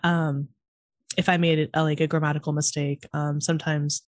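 A woman in her twenties with a Californian accent, talking fast and chatty in casual conversation with lots of fillers. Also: deep voice, flat delivery, no echo, studio quality.

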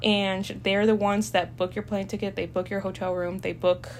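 A woman's voice: medium pitch, level tone, moderate pace, slight background noise. A young woman from El Salvador, a university student, chatting casually with lots of fillers and easy laughter. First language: Spanish. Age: young adult. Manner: chatty, hesitant with many fillers, laughs easily. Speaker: student guest. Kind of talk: casual chat.

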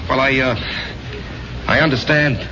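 An actor playing a troubled Vietnam veteran in a 1970s radio drama, hesitant, halting and earnest. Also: male voice, medium pitch, steady tone, natural voice. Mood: evasive, resigned. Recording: muffled, noisy radio recording.

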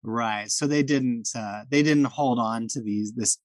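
A man's voice: mid-range voice, measured pace, dry recording. Dry-sounding male podcast host. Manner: friendly, enthusiastic, with frequent restarts. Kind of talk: casual podcast chat.

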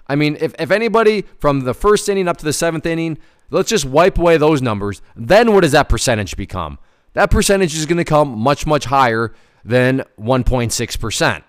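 Clear-voiced man in his thirties, emphatic commentator. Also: a little high, expressive, a little fast. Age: 30s. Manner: conversational, emphatic, repeats words for stress. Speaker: host commenting on personal finance. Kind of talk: informal commentary on an article, partly read aloud.

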